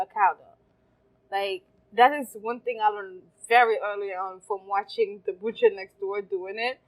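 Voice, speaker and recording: A woman's voice: medium pitch, low-quality recording. A woman, telling stories as a guest in a casual interview and laughing often. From Haiti. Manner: lively storyteller, laughs often. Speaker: guest, caterer and café owner. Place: farmers market.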